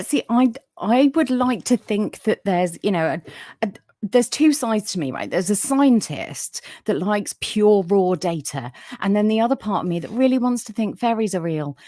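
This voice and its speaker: A woman's voice: mid-range voice, dry recording, studio quality. Soothing-voiced woman hosting a live chat. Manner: chatty, warm toward viewers, many fillers. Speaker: livestream podcast host. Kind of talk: casual live chat reading viewer comments.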